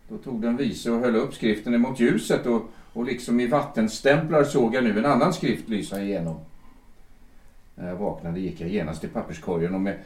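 A man's voice: fairly low pitch, darkish tone, far from the mic, lightly acted. An actor playing a weary dead man who was a schoolmaster, telling of a dream in a plain, reflective way. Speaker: main character. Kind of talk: audio drama acting. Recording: roomy reverberant room.

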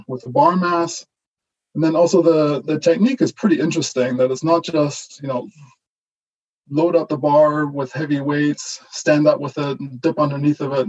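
A man whose first language is German, with a mixed German-Canadian accent, giving a relaxed online lecture full of fillers and restarts. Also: medium pitch, slightly rough, highs cut off. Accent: German-Canadian mix. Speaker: guest lecturer, associate professor.

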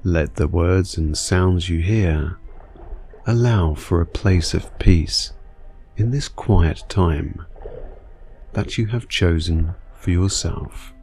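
Very slow, deep-voiced man guiding meditation. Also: vocal fry, slight background noise. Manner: soothing, unhurried, hushed delivery with long pauses. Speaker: guided meditation narrator. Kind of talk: guided sleep meditation, scripted narration.

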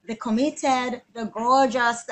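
A woman's voice: a little high, steady tone, takes it slowly, fairly bright tone. A woman hosting a live online interview, effusive and full of praise for her guest. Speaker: host.

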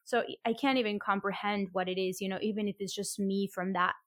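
A woman's voice: mid-range voice, steady tone, fairly quick, no room sound. Reflective woman from Colombia, dry sound. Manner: warm, reflective, many fillers and restarts. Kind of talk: conversational interview.

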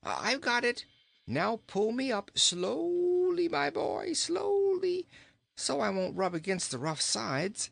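A man's voice: very high-pitched, huge pitch swings, slow, gravelly, a bit bright, no room sound, studio quality, voice pushed up, exaggerated voice. A man voicing a plump, jolly, pun-loving king in a theatrical storybook manner. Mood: urgent, flustered. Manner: theatrical, exclamatory, chuckling at own jokes.

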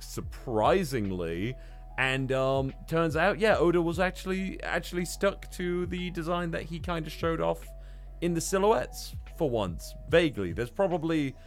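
A man's voice: a little high, expressive, a little slow. Man rambling casually on a podcast over music. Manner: rambling, hesitant, swearing. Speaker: podcast co-host. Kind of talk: casual manga recap discussion.

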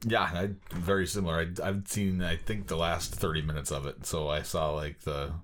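Male podcast co-host, deep voice, offhand chatty delivery, slightly noisy recording. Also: level tone, moderate pace, a bit bright. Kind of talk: casual chat.

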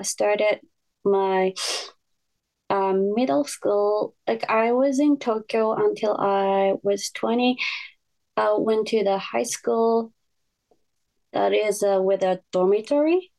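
A woman's voice: mid-range voice, gravelly, darkish tone, rough recording. A woman in her twenties from Japan, speaking English with a Japanese accent as a podcast guest, hesitant and searching for words. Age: 20s. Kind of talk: casual podcast interview.

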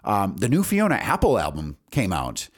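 A man's voice: lowish voice, huge pitch swings, moderate pace, slightly rough. A man in his forties hosting a casual solo podcast, rambling and candid with some salty language. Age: 40s. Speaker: podcast host and songwriter.

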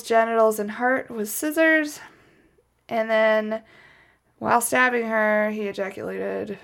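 Chatty woman with a creaky voice. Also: fairly high pitch, fairly bright tone. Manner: chatty, wry asides. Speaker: podcast host. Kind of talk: casual true crime storytelling from notes.

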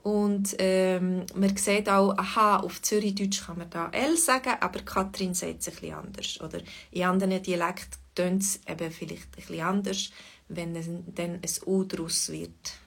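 A woman with a Swiss German accent, a Bernese and Lucerne mix, talking through a relaxed lesson with thoughtful pauses and fillers. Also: on the low side, creaky. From Switzerland.

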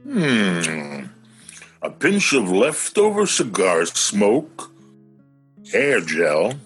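Radio play oddball, played by a man: male, unbothered philosopher, cheerfully weird, lively swooping delivery at a slow, slightly rough-edged pace. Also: lowish voice, soft background music, exaggerated voice. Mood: deadpan, playful. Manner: whimsical, musing, unbothered. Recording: home-recorded virtual radio play.

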